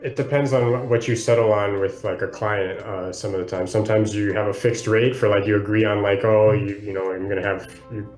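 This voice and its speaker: A man's voice: fairly low pitch, fairly even intonation, fairly quick, hardly any pauses, a little mellow. A man co-hosting a podcast, explaining a book in a casual, hedging conversational way. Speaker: podcast co-host; freelance video editor. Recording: roomy echo, faint music underneath.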